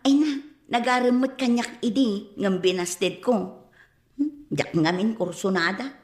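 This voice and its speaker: A woman's voice: medium pitch, measured pace, lightly acted. A woman playing a chatty, gossipy old schoolmate in an Ilocano radio comedy-drama, with an Ilocano accent. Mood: gossipy, teasing. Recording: roomy studio echo.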